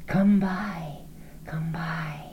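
A woman voicing a chorus of sly male goblin merchants in a hushed, coaxing singsong. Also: sits low, fairly even intonation, very slow, dull audio, a little hiss or hum, pitched above the performer's own voice.

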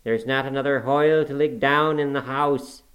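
A man voicing a grumbling, pious old manservant in broad Yorkshire dialect, sneering and scolding with drawn-out words. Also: medium pitch, a bit bright, pitched above the performer's own voice. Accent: broad Yorkshire dialect, put on.

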